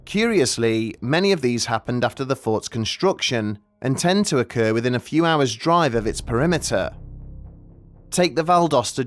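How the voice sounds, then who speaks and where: A man reading scripted mystery documentary narration in a measured, deliberate way with dramatic pauses. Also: medium pitch. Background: background music.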